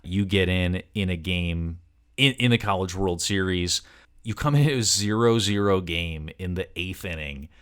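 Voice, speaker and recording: A man's voice: low-pitched, moderate pace, fairly bright tone, clear, resonant tone. A man hosting a sports podcast, conversationally asking long, wordy questions of a guest. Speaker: podcast host and interviewer.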